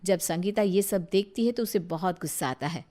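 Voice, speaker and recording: A woman's voice: on the low side, fairly quick, mellow. A woman narrating a scripted moral story as its storyteller.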